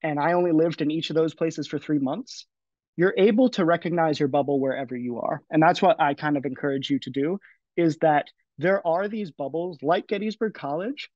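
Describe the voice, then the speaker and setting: A man's voice: on the high side, a little fast, darkish tone, dry recording. A man in his twenties speaking casually on a panel over a video call, with frequent fillers and restarts. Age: 20s. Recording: dry, dull lo-fi audio.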